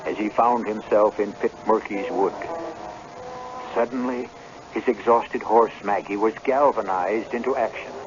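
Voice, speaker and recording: Man, radio drama host, deep-leaning voice, dramatic scripted narration, measured storytelling, muffled 1970s broadcast recording. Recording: dull, noisy old radio broadcast.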